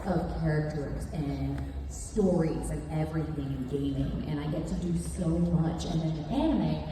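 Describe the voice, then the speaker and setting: Woman panelist with a lowish voice, candid and wry, miked from afar in a reverberant hall. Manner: candid, joking, quick asides. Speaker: voice actor panelist. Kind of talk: convention panel talk. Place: large convention hall. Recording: echoey hall from far off, noisy.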